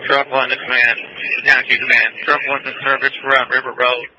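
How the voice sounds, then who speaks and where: A man's voice: medium pitch, little pitch movement, brisk, highs cut off, very noisy. A man working as a firefighter, making terse, informal check-ins over two-way radio.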